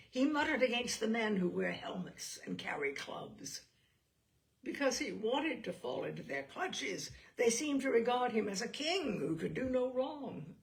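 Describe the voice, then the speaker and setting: A woman narrates a short story with plenty of creak in her voice. Her pitch sits in the middle range for a woman. She phrases each line deliberately and theatrically, giving the literary prose full expression.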